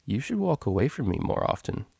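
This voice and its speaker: A man's voice: medium pitch, big pitch swings, fairly quick, gravelly, a little mellow, husky, no room sound, studio quality, heavily acted. A man voicing a cheeky, laid-back female unicorn DJ in a storybook reading, talking in casual slang. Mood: giddy, dreamy.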